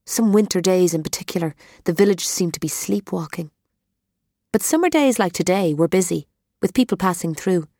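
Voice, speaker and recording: Low-voiced woman reading an audiobook. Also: dry recording, clean studio sound. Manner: measured, expressive storytelling. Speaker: audiobook narrator. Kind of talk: scripted audiobook narration.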